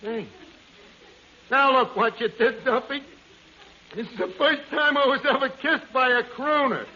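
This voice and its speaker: High-pitched, brash New tavern manager. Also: male voice, fairly even intonation, measured pace. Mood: sheepish, exasperated. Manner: wisecracking, hesitant drawl on the telephone. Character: brash, scheming tavern manager, mangling his words. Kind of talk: 1940s radio comedy acting. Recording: dull, noisy vintage radio recording.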